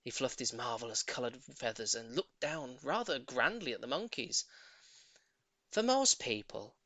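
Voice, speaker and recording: A man's voice: medium pitch, expressive, moderate pace, pushed and bright, no echo. A man narrating a children's story as a scripted audiobook, in a theatrical, emphatic way.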